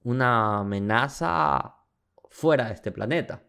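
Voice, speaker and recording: A man's voice: mid-range voice, expressive, takes it slowly, gravelly, bright, forceful tone, slight background noise. A man giving casual storytelling commentary as a podcast co-host.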